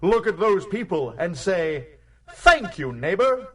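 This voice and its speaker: Fervent preacherly orator, high voice, sweeping intonation, radio drama speech. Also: male voice, sing-song, slow pace, distant mic, exaggerated voice. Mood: uplifting. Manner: grandiose, preacherly, emphatic. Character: fervent male rabble-rousing orator addressing a community assembly.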